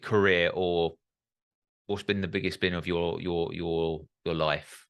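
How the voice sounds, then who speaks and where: A man's voice: low-pitched, level tone, a little slow, clear, resonant tone, no room sound, highs cut off. A young man hosting a podcast interview, chatty and hesitant, full of restarts and tag questions. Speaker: podcast host. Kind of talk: casual interview chat.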